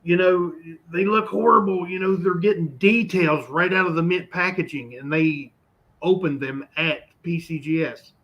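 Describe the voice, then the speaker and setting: A man hosting a relaxed online chat, his voice sitting a little high. He talks in quick, animated bursts. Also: dull audio. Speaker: livestream host. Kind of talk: casual chat about coins.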